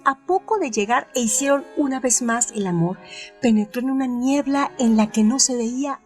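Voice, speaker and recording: A woman with a Spanish accent, reading literary prose aloud and then presenting a critical analysis in a measured, expressive way. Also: fairly high pitch. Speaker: narrator and host.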